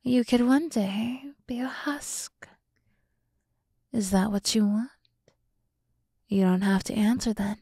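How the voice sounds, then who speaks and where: A woman voicing a seductive, scheming demon woman in an ASMR roleplay, often dropping to a breathy whisper as she slowly coaxes and condescends. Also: medium pitch, dark tone, no room sound, clean studio sound. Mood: softly menacing. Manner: slow, teasing, condescending toward humans. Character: seductive, scheming demon woman, coolly menacing yet coaxing. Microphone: close microphone, dry sound.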